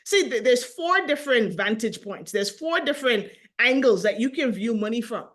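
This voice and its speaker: A woman with a Bahamian accent, giving an energetic, persuasive sales pitch on a video call and asking her audience direct questions. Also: normal speed. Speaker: sales presenter.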